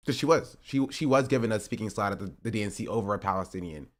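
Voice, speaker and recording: A man's voice: fairly low pitch, quick pace. A man in his twenties streaming, rambling through casual political commentary with sarcastic asides and self-corrections. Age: 20s.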